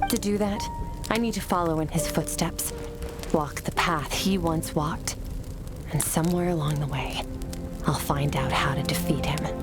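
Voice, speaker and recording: Low-voiced, aloof warrior master. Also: female voice. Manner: measured, haughty, matter-of-fact. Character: aloof, powerful female sword master from the void. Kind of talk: scripted video game acting. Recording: loud music over the voice.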